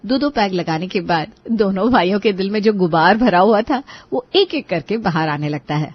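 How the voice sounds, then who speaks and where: A woman's voice: medium pitch, animated, moderate pace, slightly rough, dry recording, dull audio. A woman reading scripted narration for a Hindi family cartoon story.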